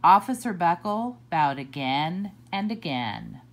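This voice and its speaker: Slow, lively woman reading a storybook. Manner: expressive, slow and deliberate, swooping pitch. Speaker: children's librarian reading aloud. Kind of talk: storybook read-aloud.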